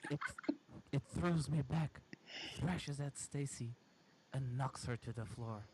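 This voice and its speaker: A man reading a first-person horror story aloud in a halting, dramatic way. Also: mid-range voice, very noisy. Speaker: amateur story reader.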